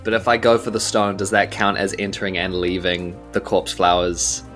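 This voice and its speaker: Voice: low voice, fairly even intonation, normal speed, fairly bright tone, faint music behind. A player with a New Zealand accent in a tabletop game, eagerly asking about rules and laughing often.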